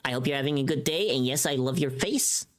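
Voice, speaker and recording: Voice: mid-range voice, fast talker. A streamer chatting casually on a livestream in a playful, teasing, sweary way.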